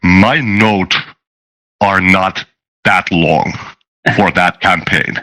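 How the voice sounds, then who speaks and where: A man chatting casually as a guest in an interview, talking in a halting, rambling way. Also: deep voice, fairly even intonation, bright, forceful tone. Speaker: guest, tabletop game designer and dungeon master. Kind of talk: casual chat, interview answers.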